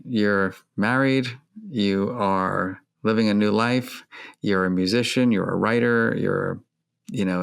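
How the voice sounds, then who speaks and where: A man hosting an interview, asking gentle, probing questions in a conversational way. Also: fairly low pitch, gravelly, smooth. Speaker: podcast host.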